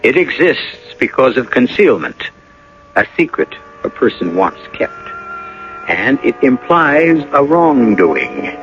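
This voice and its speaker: A grave, philosophical man hosts a radio mystery with measured, portentous delivery. His medium-pitched voice comes through a dull old broadcast, with music playing beneath him. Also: very animated, fairly bright tone. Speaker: host and narrator of a radio mystery drama. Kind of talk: scripted 1980 radio drama narration.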